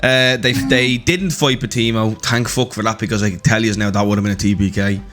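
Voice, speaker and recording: A hoarse man, the game master, recapping a tabletop campaign in a casual, joking, swearing way. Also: on the low side, fairly even intonation, a little fast, a bit bright, soft background music. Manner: joking, swearing, laughing often. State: hoarse, blew his voice.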